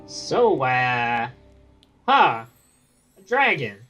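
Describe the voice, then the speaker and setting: Cheeky dragonfly buddy, woman voicing him, super lively pitch, jokey stammer. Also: drawn-out words, faint music behind, exaggerated voice. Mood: playful. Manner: stammering, joking, bouncy delivery.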